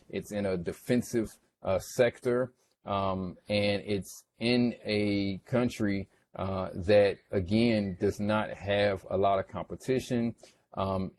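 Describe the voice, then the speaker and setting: A man giving an informal, explanatory financial presentation in a halting manner, often checking in with the listener. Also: lowish voice, a little mellow. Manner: halting, checks in with "right?" and "okay?". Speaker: investment presenter. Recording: clearly noisy background ambience.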